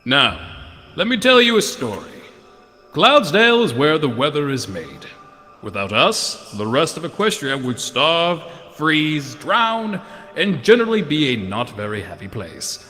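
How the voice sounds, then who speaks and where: A man's voice: a little high, big pitch swings, measured pace, sharp tone, heavily acted. A man playing a sadistic pony doctor who guides a tour with theatrical, gloating mock courtesy in a horror story reading. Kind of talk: dramatized horror fan fiction reading. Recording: long hall reverb, music behind.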